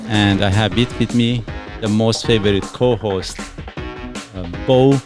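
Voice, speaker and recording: A man's voice: on the low side, fairly even intonation, a little slow, over music. A middle-aged man from Iran hosting a casual podcast, explaining things earnestly in a rambling way with many fillers. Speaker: podcast host.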